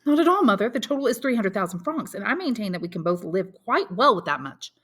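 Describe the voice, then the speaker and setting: A woman's voice: medium pitch, big pitch swings, quick pace, a bit bright, breathy edge, voice pushed up. A woman voicing an earnest, resolute young man who firmly reassures his mother in an audiobook. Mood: eager, upbeat. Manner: firm, reassuring, insistent. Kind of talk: audiobook character dialogue.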